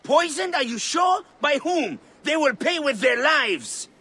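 An actor playing a devout, fervent temple guardian in an ancient Egyptian video game, breathy and emphatic. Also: high-pitched, very animated, measured pace, sharp tone, heavily acted. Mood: outraged.

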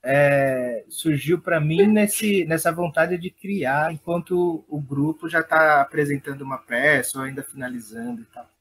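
A man with a São Paulo Brazilian accent talks in a casual interview. His voice is fairly high and noticeably husky. He feels his way through explanations with repeated words and small checks for agreement. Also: a little slow, few pauses, fairly bright tone. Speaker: guest, theatre director and playwright.

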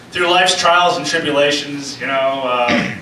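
A young man doing a stand-up set of deadpan puns at an open mic, then answering interview questions in a casual way. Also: on the high side, takes it slowly. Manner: deadpan punning, sings snatches of songs. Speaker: stand-up comedian and interview guest. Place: small open-mic club stage, indoors. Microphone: handheld mic, filmed by a far camera. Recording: roomy far-off camera audio with background noise.

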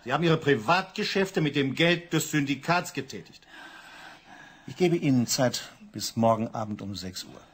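A stern syndicate chief in an old radio drama. He speaks in a level, slightly husky voice and sets his terms with clipped finality. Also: mid-range voice, animated, moderate pace. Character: stern male syndicate chief, cold, unyielding.

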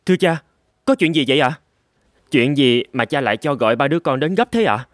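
A man's voice: medium pitch, animated, a little fast, no echo, clean studio sound, close to an everyday voice. A man voicing an earnest, proud young swordsman in a fairy-tale cartoon, with emphatic, theatrical delivery. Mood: respectful.

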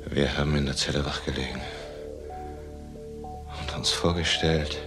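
An actor playing a haunted, withdrawn ex-convict in a crime radio drama, speaking haltingly in short, broken phrases. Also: male voice, extremely low pitch, fairly even intonation. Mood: bitter, brooding. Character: haunted ex-convict confessing dark past, withdrawn. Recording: music under the dialogue.

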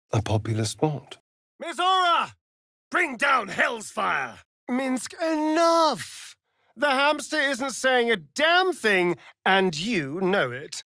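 An ancient, vain male vampire rogue from a fantasy video game. The delivery is slow and savouring, with pitch that swoops theatrically. He sounds arch and mocking, recorded very dry and close. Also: sharp tone, no room sound, full character voice. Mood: serious, observant, then commanding, incanting, then exasperated. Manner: arch, flamboyant, drawling, mocking. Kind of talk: video game character acting.

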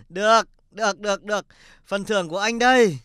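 A man's voice: sits high, normal speed, very noisy, lightly acted. A man voicing a jovial, vain company boss in a cartoon, effusive and repeating his words. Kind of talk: cartoon comedy acting.

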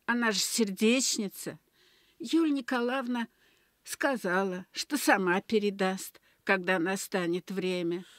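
A woman's voice: medium pitch, measured pace, a bit bright, dry recording, clean studio sound, close to an everyday voice. A woman playing a sorrowful, plain-spoken old village grandmother in a Soviet radio play. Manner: lamenting, plain-spoken storytelling. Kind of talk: Soviet radio drama acting.

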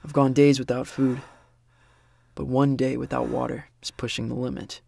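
A man's voice: mid-range voice, flat delivery, moderate pace, vocal fry, mellow, a little hiss or hum. A young man acting as a weary, reflective survivor of a post-apocalyptic world in an audio drama. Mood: somber, reflective.

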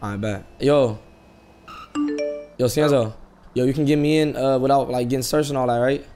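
A man's voice: mid-range voice, fairly even intonation, normal speed, music in the background. An American man chatting casually in character in an online crime game, using street slang and repeating himself. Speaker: streamer role-playing in an online crime game. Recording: noisy game voice chat.

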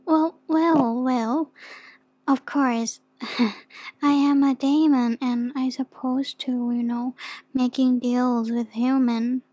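A woman acts out a timid young female demon in an ASMR roleplay. Her voice is soft and fairly high, sometimes whispery, in a close, dry recording. She speaks hesitantly and very slowly, stumbling shyly over her words. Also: fairly even intonation, no room sound. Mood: shy, gentle. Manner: hesitant, stammering, apologetic. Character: soft, shy, flustered young female demon offering contracts.

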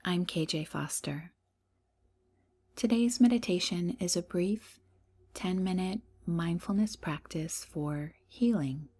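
Slow, low-voiced woman guiding meditation. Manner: soft, breathy, unhurried, soothing delivery. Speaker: guided meditation host. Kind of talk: slow guided meditation narration. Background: meditation music.

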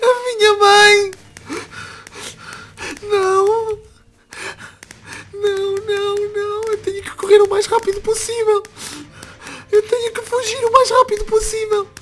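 Woman playing a shrill, frightened young boy. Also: moderate pace, fairly bright tone. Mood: desperate, crying. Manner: pleading, repeating words, crying out. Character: frightened young boy, anxious, devoted to his mother.

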